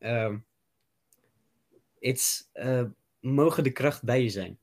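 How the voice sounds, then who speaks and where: A man talks casually as a guest over an online call. His voice sits at a middling pitch, and he pauses and hedges with frequent ums. He is easily amused. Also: darkish tone. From Netherlands. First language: Dutch. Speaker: podcast guest. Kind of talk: casual chat.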